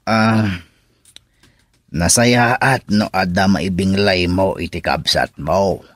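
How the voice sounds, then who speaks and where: A man acting in a radio drama as a kindly, fatherly older villager, with an Ilocano accent, a husky voice and a measured, counselling manner. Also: sits low, no echo, studio quality.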